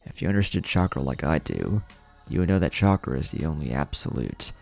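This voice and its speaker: Young ninja hero, read by a male narrator: uneasy, questioning, deep register with some fry, anime audio story. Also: big pitch swings, brisk, darkish tone, soft background music, heavily acted. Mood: troubled.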